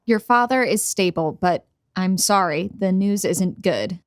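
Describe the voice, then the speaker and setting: A woman playing a grave hospital doctor in an audio drama, speaking in a measured, clinical way. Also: medium pitch, animated, no echo, studio quality, lightly acted. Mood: grave, sympathetic. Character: grave, clinical female hospital doctor delivering bad news. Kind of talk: acted dialogue in an audio screenplay reading.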